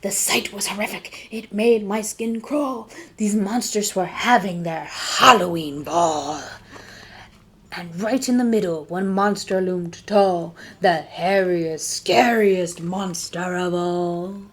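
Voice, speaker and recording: A woman reading a storybook aloud to children as a librarian, dramatic and dropping to hushed tones for suspense. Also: mid-range voice, slow, slightly rough.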